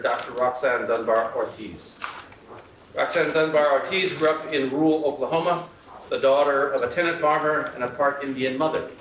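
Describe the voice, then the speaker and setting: A man hosting a symposium who reads a formal introduction of the keynote speaker, with a few small slips. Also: medium pitch. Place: lecture hall. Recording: echoey hall, distant mic, faint noise.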